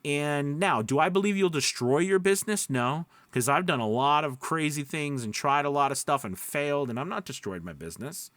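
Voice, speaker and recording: Animated man coaching in a dry, close sound. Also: fairly high pitch, normal speed, hardly any pauses, no room sound. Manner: encouraging, reassuring; conversational, checks in with frequent "right?" and "okay?". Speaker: voice-over coach and video host. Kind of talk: casual advice talk to camera.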